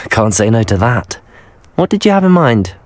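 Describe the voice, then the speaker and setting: A man's voice: medium pitch, sing-song, slow pace, a little hiss or hum. A man voicing a polite, confident young student adventurer in a video game. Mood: game, relaxed. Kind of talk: video game character acting.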